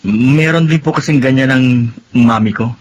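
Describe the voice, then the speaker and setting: A man's voice: medium pitch, moderate pace, natural voice, low-quality recording. An actor playing a friendly, generous young man in a Filipino radio drama, casual and easygoing. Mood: kind, curious.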